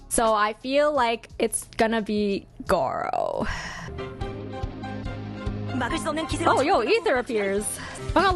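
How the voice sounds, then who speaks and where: Animated, high-pitched woman streamer. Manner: giggly, expressive, exclaiming. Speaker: livestream host reacting to game trailers. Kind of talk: casual live stream commentary. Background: music from game trailers.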